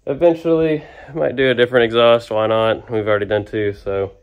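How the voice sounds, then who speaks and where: A man casually narrating his work on a truck in his garage shop, relaxed and rambling as he talks while working. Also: medium pitch, brisk, clean tone, highs cut off.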